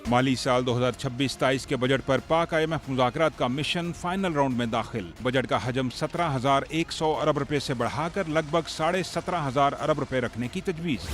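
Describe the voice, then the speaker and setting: Male Pakistani TV reporter, average register, reading a scripted report package. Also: medium pitch. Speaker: news reporter. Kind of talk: scripted news report voice-over.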